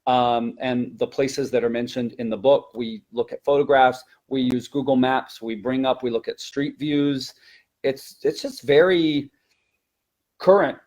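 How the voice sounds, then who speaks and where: A man's voice: mid-range voice, fairly even intonation, measured pace, a little mellow. A man who teaches languages, talking conversationally as he explains classroom technique in a webinar, repeating words for emphasis.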